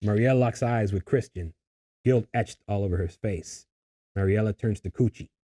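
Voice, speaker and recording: A man reading screenplay stage directions in a husky voice, as scripted narration at a table read. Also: lowish voice, normal speed, mellow.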